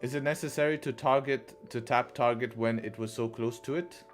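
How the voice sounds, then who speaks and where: A man's voice: mid-range voice, fairly even intonation, normal speed, faint music behind. A man from England hosting a live trading stream, chatting casually, repeating phrases and answering questions from chat. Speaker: livestream trading host.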